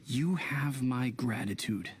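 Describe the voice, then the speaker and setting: A man voicing a polite, earnest, mild-mannered healer with a creaky voice and formal manner in a video game. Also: medium pitch, noisy background, close to an everyday voice. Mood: earnest, grateful. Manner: courteous, formal, measured.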